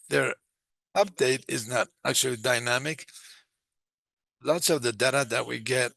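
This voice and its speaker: A man giving a live interpretation of a webinar discussion, speaking in halting, phrase-by-phrase chunks. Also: mid-range voice, gravelly, sharp tone, no room sound. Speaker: simultaneous interpreter.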